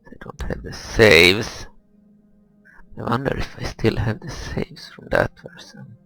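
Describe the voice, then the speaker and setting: A man giving casual gameplay commentary, thinking aloud in a hesitant, grumbling, husky and gravelly way. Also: fairly low pitch, lively intonation, a little slow, bright, forceful tone, rough recording. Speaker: gamer recording gameplay commentary.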